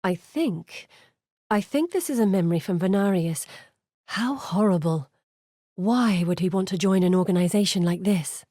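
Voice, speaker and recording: A woman's voice: mid-range voice, moderate pace, warm tone, no echo, studio quality, close to an everyday voice. An actress playing an earnest, curious woman scholar in a video game, articulate, thoughtful and dramatic in delivery. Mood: puzzled, uneasy, then saddened, appalled. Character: earnest, curious woman scholar guiding an exile through memories. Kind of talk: video game character voice acting.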